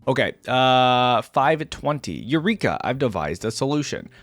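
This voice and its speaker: A man's voice: mid-range voice, sing-song, unhurried, fairly bright tone, dry recording, soft background music. A man hosting a reaction video, chatting casually and reading lore aloud with conversational asides. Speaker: reaction video creator, host.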